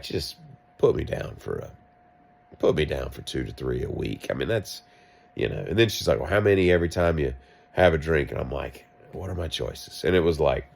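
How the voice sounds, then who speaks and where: A man with a deep voice, sick and coughing, telling a rambling, wry story in a vlog. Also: creaky, a little mellow. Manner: wry, self-mocking, meandering asides. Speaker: vlogger.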